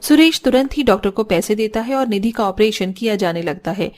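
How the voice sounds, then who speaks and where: A woman's voice: mid-range voice, animated, brisk. A woman giving scripted narration for a cartoon story.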